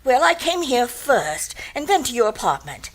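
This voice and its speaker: A woman's voice: high voice, very animated, moderate pace. A woman playing an anxious, evasive, well-to-do married client in a detective radio drama, flustered and hesitant. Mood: evasive, nervous.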